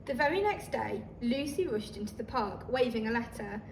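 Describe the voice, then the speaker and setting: A woman reading a children's story aloud like a teacher, expressive and talking listeners through the pictures. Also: fairly high pitch, moderate pace. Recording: roomy, distant microphone, faint noise.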